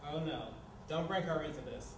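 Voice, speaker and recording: A man's voice: fairly high pitch, normal speed, close to an everyday voice. A man acting an exasperated, sarcastic housemate of about thirty in a staged screenplay reading. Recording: echoey, noisy room from far off.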